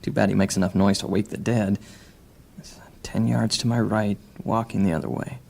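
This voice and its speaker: A man acts out a sly thief in a fantasy audio drama. His voice sits fairly low and is strongly gravelly. He talks in a cocky, sardonic way, often muttering as if to himself. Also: level tone, moderate pace, darkish tone. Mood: stealthy muttering.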